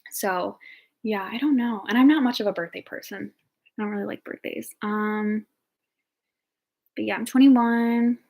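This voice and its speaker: A woman's voice: mid-range voice, measured pace, pauses often, darkish tone. A woman in her early twenties, a nursing student, chatting casually and answering viewer questions in a talkative, upbeat way with lots of fillers. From Ohio, United States. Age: 20s.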